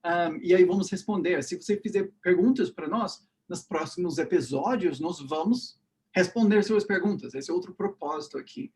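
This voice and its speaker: Man, teacher hosting a podcast, lively delivery, slightly high voice. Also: moderate pace. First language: English. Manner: animated, repeats "né?" and "tá?" often. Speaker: English teacher and podcast host. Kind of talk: casual podcast chat.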